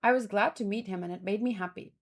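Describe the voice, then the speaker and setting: A woman reading dialogue aloud in an informal, expressive way, mixed with casual commentary of her own. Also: medium pitch, fast. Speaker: manga read-along host.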